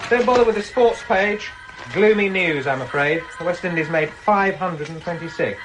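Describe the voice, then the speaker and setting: An actor playing a breezy, droll, well-heeled English banker with an upper-class accent in a radio drama. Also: male voice, high voice, moderate pace, close to an everyday voice, rough recording. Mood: jovial, droll. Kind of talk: British radio drama acting. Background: music.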